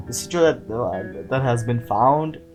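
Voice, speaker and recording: A man's voice: mid-range voice, animated, unhurried, darkish tone, soft background music. A man giving casual, informal video commentary in a slangy, sweary way, as a creator talking through an analysis.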